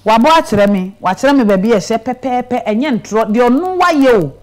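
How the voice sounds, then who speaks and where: A woman's voice: a little high, animated, normal speed, a little mellow. A middle-aged woman with a Ghanaian accent hosting a conversational studio interview, probing with questions and short reactions. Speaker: interview host. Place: small TV studio, indoors. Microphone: clip-on lapel mic.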